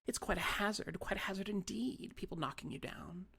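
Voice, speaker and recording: An audiobook reader voicing a spiteful, wheedling tiny old woman in a high, breathy half-whisper. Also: a little fast, pushed and bright, heavily acted. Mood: sly. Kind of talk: audiobook character voice.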